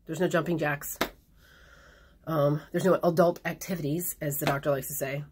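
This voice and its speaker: A woman chatting in a casual video diary, a little hoarse, frank and rambling with plenty of fillers. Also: sits low, steady tone, moderate pace, a little mellow, a little hiss or hum. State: hoarse after surgery. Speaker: vlogger.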